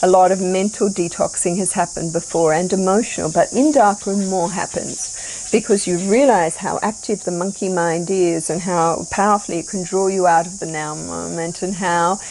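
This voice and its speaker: Assured woman with a lowish voice. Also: fairly even intonation, normal speed, a little mellow, slight background noise, low-quality recording. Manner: fluent and assured. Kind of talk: explanatory talk.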